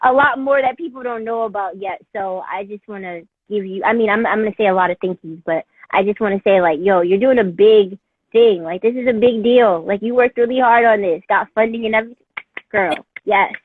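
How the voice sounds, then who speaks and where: A woman's voice: medium pitch, fairly quick, breathy edge, dry recording. A woman in her thirties chatting casually as interviewer, effusive and encouraging, with lots of fillers. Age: 30s. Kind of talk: casual chat. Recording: tinny phone-like dry sound.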